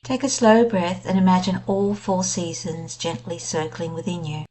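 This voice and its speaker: A woman guiding a scripted meditation in a gentle, soothing, unhurried manner. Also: on the low side, fairly even intonation, poor audio. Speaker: meditation guide. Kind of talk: scripted guided meditation narration.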